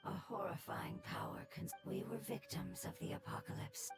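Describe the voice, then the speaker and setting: A woman voicing a strange, ancient creature in a video game, solemn and formal, with a breathy, whispery voice. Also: medium pitch, huge pitch swings, normal speed, noisy background. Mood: solemn, mournful. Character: strange ancient creature, grateful survivor of a ruined homeland. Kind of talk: video game character acting.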